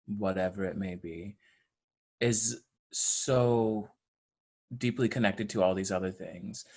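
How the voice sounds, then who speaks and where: Earnest man speaking slowly and deliberately. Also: fairly low pitch. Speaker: stage actor in an audio play. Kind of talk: reflective interview talk.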